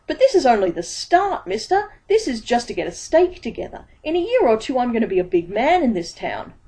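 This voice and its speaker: A woman's voice: big pitch swings, dark tone. A woman doing a nervous hustling street pie seller. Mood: eager. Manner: high, quick, wheedling sales patter.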